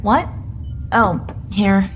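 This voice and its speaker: A woman's voice: medium pitch, drawn-out words. A woman playing a restless, impatient spaceship crew member in an audio drama, whiny and insistent. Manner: complaining, insistent. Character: restless, whining young female crew member, eager and impatient. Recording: loud music bed under the voice.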